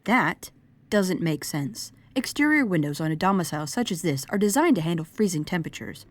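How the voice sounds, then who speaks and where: A woman playing a logical, formal investigator in an audio drama, talking in deliberate technical language. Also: lowish voice, big pitch swings. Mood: analytical. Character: analytical, formal female investigator, measured and logical.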